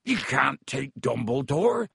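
Man acting a gruff, hot-tempered big fellow. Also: mid-range voice, very animated, slow, no room sound, clean studio sound, full character voice. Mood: angry. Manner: loud, growling, blunt.

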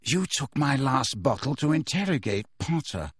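A man voicing a cold, indifferent male professor who speaks slowly, smoothly and sardonically, in a dry close recording. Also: mid-range voice, sing-song, no room sound. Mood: cold sardonic. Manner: slow, smooth, cool, sardonic.

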